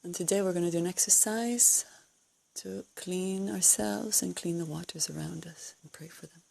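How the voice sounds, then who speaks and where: A woman leading a guided meditation, giving calm, unscripted instructions in a soothing, breathy way with gentle pauses. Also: lowish voice. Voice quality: breathy, husky, creaky.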